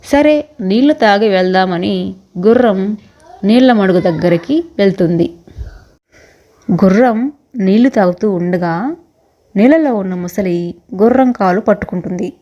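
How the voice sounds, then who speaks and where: A woman's voice: medium pitch, unhurried, pauses often, lo-fi sound. A woman narrating a scripted children's moral story as the storyteller.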